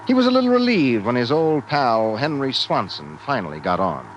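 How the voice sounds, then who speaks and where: A man's voice: medium pitch, sing-song, normal speed, slight background noise, close to an everyday voice. Muffled, dramatic 1950s radio narrator man acting. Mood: grave, suspenseful. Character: grave storytelling narrator of a suspenseful sci-fi tale. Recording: muffled vintage radio broadcast recording. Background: dramatic music.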